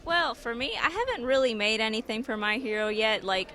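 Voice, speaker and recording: A woman's voice: a little high, lively intonation, a little fast, a bit bright. A woman cosplayer answering interview questions at a fan convention, easygoing and a little hesitant, with lots of fillers. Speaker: cosplayer guest.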